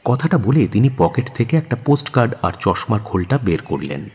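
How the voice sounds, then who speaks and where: Male audio-story narrator: low, husky, expressive dramatic reading, reverberant sound. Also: brisk.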